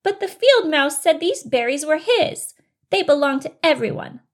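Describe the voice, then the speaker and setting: Woman playing a high-voiced, sulky young bunny. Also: sing-song, moderate pace. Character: young male bunny, hot-tempered, sulky but trying.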